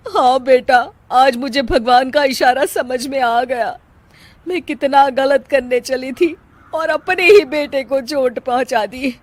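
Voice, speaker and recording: A woman's voice: high voice, takes it slowly. A woman voicing a scheming, melodramatic middle-aged mother-in-law in a cartoon story. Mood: tearful remorse. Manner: melodramatic, exclamatory. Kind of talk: Hindi cartoon story dubbing.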